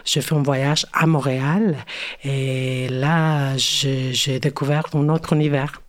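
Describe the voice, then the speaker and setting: Mexican accent lady, slow and hesitant, lots of pauses. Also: rumbling low, fairly even intonation. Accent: Mexican Spanish. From Mexico City. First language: Spanish. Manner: hesitant, many pauses and "euh" fillers, restarts. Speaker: guest, cultural worker and curator. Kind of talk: interview answers.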